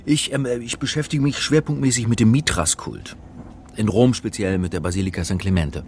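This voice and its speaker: An actor playing a hesitant, dryly sarcastic religious studies lecturer in a radio crime drama. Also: male voice, fairly low pitch, animated, a little fast, a little hiss or hum. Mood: eager. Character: flustered male religious studies lecturer, sardonic accidental sleuth. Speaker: narrator and lead actor. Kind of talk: radio crime drama, dialogue and first-person narration.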